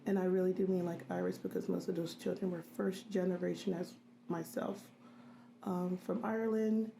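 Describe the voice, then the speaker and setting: A middle-aged woman in an interview, recalling her childhood in a halting way with many pauses. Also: lowish voice, level tone, creaky, warm tone, a little hiss or hum. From grew up in a US city in a Haitian immigrant family. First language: Haitian Creole. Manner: halting, many ums and restarts. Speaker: interviewee, registered nurse. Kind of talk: reflective interview recollection.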